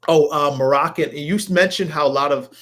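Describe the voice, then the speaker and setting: A man's voice: fairly high pitch, brisk, a bit bright. A man hosting a live show as a health coach, chatting casually and enthusiastically, rambling and repeating himself as he browses. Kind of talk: casual livestream chat while browsing recipes.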